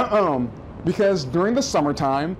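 A man's voice: sits high, expressive, unhurried, slight background noise, rough recording. A man in his thirties giving a casual guided tour of an observatory, explaining equipment in a friendly, chatty way with small restarts. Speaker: planetarium manager giving a tour. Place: rooftop observatory outdoors, then indoor exhibit room.

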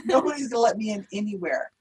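A woman in her fifties with an American accent, hosting a casual interview over a video call from home, chatty and quick to laugh. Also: a little high, expressive, darkish tone, slightly rough, low-quality recording. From United States. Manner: chatty, laughs easily, trails off mid-sentence. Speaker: podcast host. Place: home room with shelves and curtains. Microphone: video call webcam mic.

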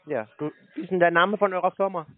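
A man's voice: high voice, fairly even intonation, fast talker. Tinny, sarcastic man on a phone call. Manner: sarcastic, scolding, blunt. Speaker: scam baiter. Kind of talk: mocking phone conversation. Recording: tinny phone-like sound, clear noise.

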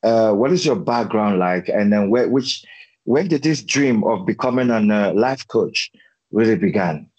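Husky-voiced male interview host. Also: fairly low pitch, unhurried. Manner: relaxed, affirming listener with many mm and yeah. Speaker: talk show host. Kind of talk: hosting an online interview show. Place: indoor room at home. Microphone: desk microphone.

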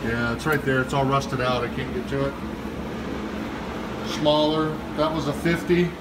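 A man's voice: medium pitch, level tone, moderate pace, very noisy. A middle-aged man, an HVAC service manager, walks through an old machine room and talks casually and admiringly about the equipment into a handheld phone. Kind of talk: casual walkthrough narration. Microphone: phone camera held in hand.

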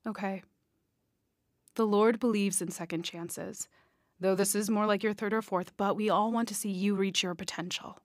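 An actress playing a firm but forgiving boarding school dean in an audio drama, measured and admonishing. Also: female voice, mid-range voice, fairly even intonation, no echo, clean studio sound, natural voice.

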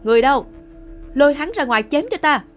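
A woman's voice: sits high, animated, quick pace, faint music behind. A woman voicing an arrogant, scornful male invader commander in a historical cartoon. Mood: angry commanding. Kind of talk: cartoon history drama acting.

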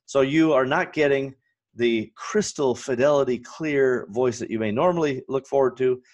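A man in his forties hosting a solo business podcast from a home office, his voice hoarse and raspy, talking with energy and going off on rants. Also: mid-range voice, normal speed, lo-fi sound. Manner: energetic, ranting, fillers and restarts. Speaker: podcast host.

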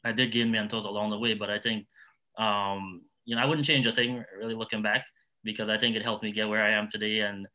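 Middle-aged Trinidadian man, panelist. Low-pitched, forceful bright tone, talking from experience. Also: fairly even intonation, fairly quick. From Trinidad and Tobago. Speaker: nonprofit founder, panelist. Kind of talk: panel discussion talk, personal stories.

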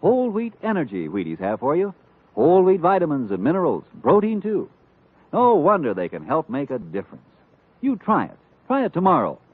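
An American male announcer delivers an old radio advertisement. His fairly high, husky voice leaps around with salesman's emphasis, on a narrow-band vintage recording. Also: wildly swooping pitch, brisk, dry recording. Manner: emphatic, punchy sales pitch. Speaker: commercial announcer.

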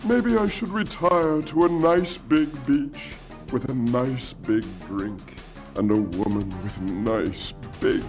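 A man as a slow, pompous mad scientist villain. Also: medium pitch, a little mellow, far from the mic, close to an everyday voice. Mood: self-pitying. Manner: theatrical, pompous, self-pitying. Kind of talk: video game cartoon villain acting. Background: game music.

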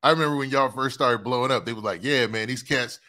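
Upbeat male interviewer with a bright, sharp tone, keen and affirming. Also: mid-range voice, quick pace. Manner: eager, affirming, asks leading questions. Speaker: interview host. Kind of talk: casual interview chat.

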